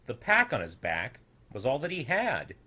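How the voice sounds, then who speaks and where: A young man reading a rhyming children's story as an expressive, theatrical storyteller with a sing-song rhythm. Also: medium pitch, normal speed, husky. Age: young adult.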